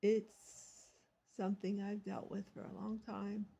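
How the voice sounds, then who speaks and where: Woman in her sixties, gravelly and a bit husky, meandering and self-deprecating, talking to camera. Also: medium pitch, completely flat delivery, a little slow, dark tone. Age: 60s. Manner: confessional, meandering, self-deprecating with frequent restarts. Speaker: vlogger and self-published author. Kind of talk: rambling personal vlog.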